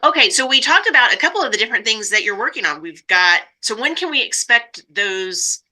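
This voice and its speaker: A woman's voice: fairly high pitch, big pitch swings, brisk, pushed and bright, poor audio. A woman hosting a podcast interview in a casual, conversational style. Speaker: podcast host.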